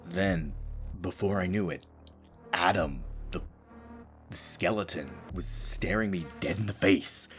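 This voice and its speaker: Audio drama: male actor as brooding space-base janitor, low register, theatrical storyteller, haunted, over a clear music bed. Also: a little slow. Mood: haunted, dread.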